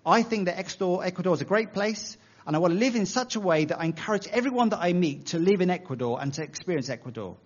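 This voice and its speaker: A man's voice: a little high, lo-fi sound. A man with an English accent preaching a sermon in a conversational, self-deprecating way, using everyday analogies.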